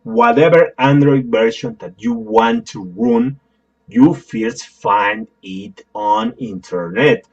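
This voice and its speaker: A man with a Spanish accent, a native Spanish speaker, giving a software tutorial in a halting, apologetic way with many fillers and restarts. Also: mid-range voice, very animated, vocal fry, muffled recording. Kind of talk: screen-recorded tutorial.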